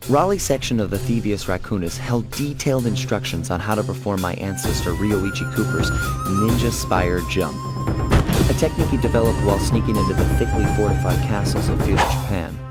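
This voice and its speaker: A man's voice: on the low side, wildly swooping pitch, brisk. An actor playing a cocky, laid-back young male thief in a video game, trading quips and narrating. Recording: game audio with loud music behind.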